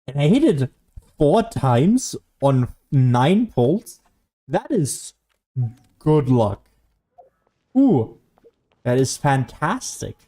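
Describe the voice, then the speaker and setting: A man giving casual solo gameplay commentary as a streamer, rambling and thinking out loud as he goes. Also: a little high, sing-song, drawn-out words, pauses often. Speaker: gaming streamer.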